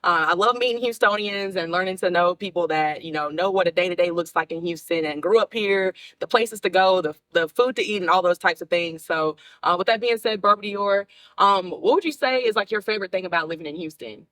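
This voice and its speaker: A woman talk show host with a bright, forceful voice and a very quick pace. She chats informally and upbeat, full of compliments and slang as she interviews. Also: lowish voice, low-quality recording. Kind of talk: casual interview chat.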